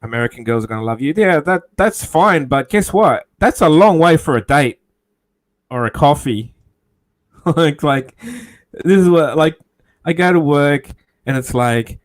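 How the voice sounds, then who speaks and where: A man from New South Wales, hosting a livestream in relaxed, hesitant casual chat. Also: on the high side, unhurried. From New South Wales, Australia. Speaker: livestream host.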